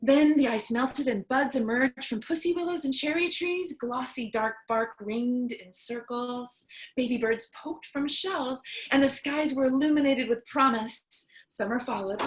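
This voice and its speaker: Woman acting high voice, tender mother, staged reading, storybook delivery. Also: moderate pace, few pauses, close to an everyday voice, low-quality recording. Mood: tender, nostalgic.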